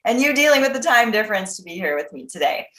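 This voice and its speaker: A woman's voice: a little high, big pitch swings, quick pace, fairly bright tone, echoey room. An American woman hosting a podcast, asking questions in an upbeat, enthusiastic, casual way. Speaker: podcast host. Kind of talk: casual interview chat.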